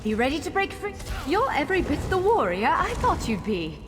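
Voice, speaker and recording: Spirited game warrior guide, very animated, encouraging, long reverb, music behind. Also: female voice, sits high. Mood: urgent, encouraging. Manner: brisk, encouraging, urgent in combat. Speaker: game companion and tutorial guide. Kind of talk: scripted video game dialogue.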